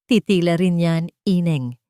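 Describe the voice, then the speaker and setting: A woman voicing a weary, wise old man in an audio story, speaking gently and with meaning. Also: lowish voice, a little slow, clean tone, no echo, studio quality, natural voice. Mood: gentle, knowing. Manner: hushed, meaningful.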